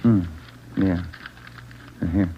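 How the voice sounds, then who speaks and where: An actor in a 1940s radio drama playing an easygoing, plainspoken police detective with an unhurried, laconic manner. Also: male voice, deep voice, fairly even intonation, a little hiss or hum. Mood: dry, relaxed. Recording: muffled 1940s radio recording.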